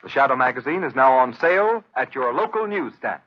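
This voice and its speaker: A man's voice: mid-range voice, big pitch swings, brisk. A man reading formal scripted announcements as a 1930s radio announcer. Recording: dull, lo-fi 1930s radio recording.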